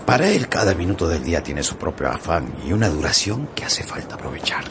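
An actor playing a loyal, talkative manservant in a radio drama, polite, earnest and theatrical. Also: male voice, low-pitched, big pitch swings, a little fast, noisy background. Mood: earnest, reassuring. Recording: music behind the voice.

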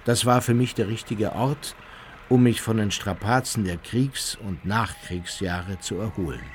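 Male actor as former Nazi emigrant memoirist, radio docudrama. Low voice, sober and measured, slight ambient noise. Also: close to an everyday voice. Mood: measured, reflective.

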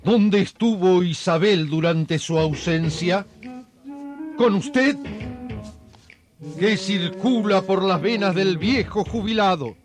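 Male Argentine narrator, slightly high voice, dramatic serial delivery over prominent music. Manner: theatrical, measured.

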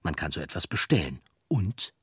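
A man reading scripted documentary narration in a measured, explanatory manner. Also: deep voice, sing-song, a bit bright, slightly rough, dry recording, studio quality. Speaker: audiobook narrator.

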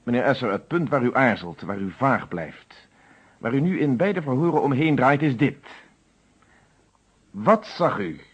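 Lo-fi old radio detective show, stern inspector, husky voice, formal and pushy questioning. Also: male voice, medium pitch, expressive. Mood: pressing. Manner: formal, measured, authoritative interrogation. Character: stern, probing male police inspector, confident and insistent. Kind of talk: old Dutch radio detective play acting. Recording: dull lo-fi old radio recording.

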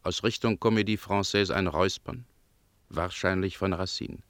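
An actor playing a detached observer in a poetic radio play, speaking in terse, incantatory phrases. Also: male voice, low-pitched, moderate pace, fairly bright tone, no echo. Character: detached male observer listing poetic city impressions.

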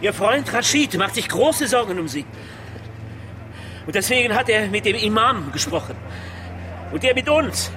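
A man's voice: high-pitched, sing-song, normal speed, pauses often, background noise. A man playing a persistent police detective in a German radio crime drama, reasoning and questioning insistently. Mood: urgent, placating.

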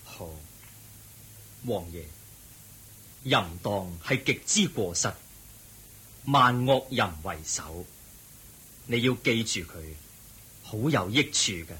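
The buddha in a radio play, calm sage preaching real slow and solemn, lowish voice that lifts and falls a lot. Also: male voice, breathy edge, full character voice, poor audio. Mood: gentle. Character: the Buddha, serene male sage teaching a crowd. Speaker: religious teacher in a radio drama. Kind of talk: Cantonese radio drama acting.

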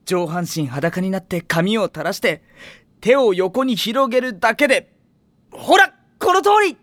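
A man voicing a friendly, easygoing senior high-school boy in an anime audio drama, gently playful and teasing. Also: expressive, measured pace, no echo. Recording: music faintly behind the voice.

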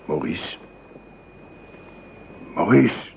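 A man's voice: deep voice, sing-song, extremely slow, creaky, a little hiss or hum, full character voice. A man playing a French army lieutenant in a radio drama, wry and confidential in manner. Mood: uneasy, hushed.

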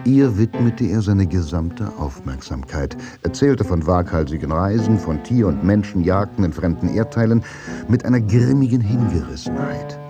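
A man narrating a German radio play from a script in a measured, brooding and intense dramatic style. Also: deep voice, expressive, over music.

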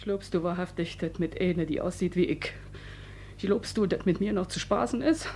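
An actress playing a domineering, anxious working-class wife in a stage drama, with colloquial speech that swings between wheedling and threats. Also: female voice, on the low side, moderate pace, darkish tone, slight background noise, lightly acted. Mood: menacing. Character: domineering, anxious working-class married woman, coaxing yet menacing. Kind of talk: theatrical naturalist stage drama acting.

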